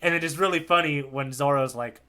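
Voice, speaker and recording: A man's voice: a little high, fairly quick, pushed and bright. A man co-hosting a podcast in informal, casual chat, circling around his point with repeated words. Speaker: podcast co-host.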